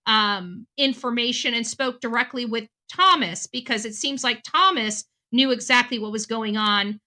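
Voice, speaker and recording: A woman's voice: a little high, normal speed, bright, forceful tone. A woman giving unscripted, opinionated true crime commentary in an emphatic way, repeating phrases for stress.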